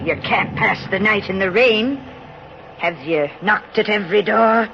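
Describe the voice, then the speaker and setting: An actress playing a kindly, concerned passer-by, asking questions gently in an old radio drama. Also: female voice, mid-range voice, measured pace, natural voice. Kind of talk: 1930s radio drama acting. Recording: noisy, dull old radio recording.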